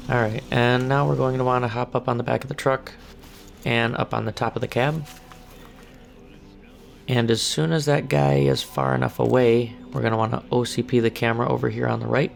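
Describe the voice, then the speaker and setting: A hoarse man giving calm step-by-step commentary through a stealth game walkthrough. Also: medium pitch, brisk. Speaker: gameplay walkthrough narrator.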